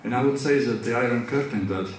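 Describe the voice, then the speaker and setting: A man's voice: mid-range voice, fast, slight background noise. A man from Georgia with a Georgian accent, Georgian his first language, giving an academic lecture at a conference with many hesitations and restarts. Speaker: conference speaker. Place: large conference hall. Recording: echoey hall from far off.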